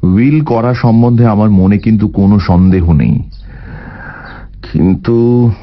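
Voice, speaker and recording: An actor playing a shrewd, self-possessed detective in a dramatised audio story. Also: male voice, fairly low pitch, measured pace, dull audio. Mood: composed, polite. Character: composed, shrewd male detective, urgent when on a clue.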